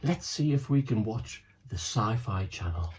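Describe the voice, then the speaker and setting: A man voicing a quick-witted schoolboy hero in a children's story, with a bright, confident delivery. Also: fairly low pitch, lively intonation.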